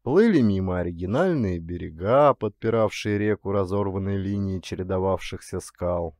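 Male narrator, lively pitch movement, dramatic literary storytelling, dry close sound. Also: moderate pace, no echo. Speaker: audiobook narrator, actor. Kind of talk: expressive scripted literary narration.

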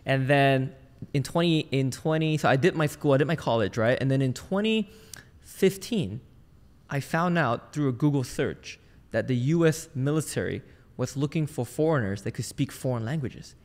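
A man's voice: mid-range voice, animated, moderate pace. Man from Malaysia, long room reverb. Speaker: podcast guest, sales trainer and entrepreneur. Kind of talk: casual personal storytelling in an interview.

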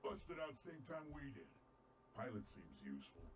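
Gruff, animated robot outlaw played by a man. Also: mid-range voice, normal speed, noisy background, natural voice. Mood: impatient. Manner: blunt, sardonic. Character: gruff big robot outlaw, blunt and wry. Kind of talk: sci-fi game cutscene acting.

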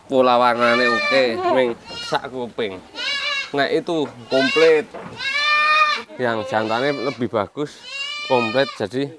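A man's voice: mid-range voice, very noisy. Young Javanese man touring a goat yard. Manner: relaxed, chatty, mixing in local words, jokey asides. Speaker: goat farm vlogger. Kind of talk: casual on-camera farm tour. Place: outdoor village yard with goats. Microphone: small lapel mic.

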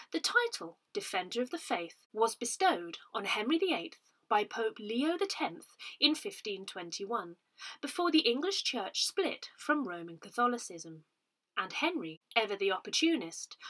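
A woman reading a newsletter aloud in a measured, expressive manner, as a volunteer narrator. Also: medium pitch, low-quality recording. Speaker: volunteer reader of a parish newsletter.

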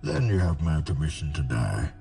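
A man playing a merciless, brutal villain in a film, theatrical and deliberate in his menace. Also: very deep voice, huge pitch swings, vocal fry, dark tone, exaggerated voice. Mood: ominous. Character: brutal, merciless terrorist villain promising destruction. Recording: film dialogue with faint noise.

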